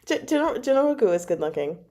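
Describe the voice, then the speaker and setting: A woman chatting casually as a book club host, recapping a novel with gushing, slangy asides and drawn-out emphasis. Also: a little high, sing-song, warm tone.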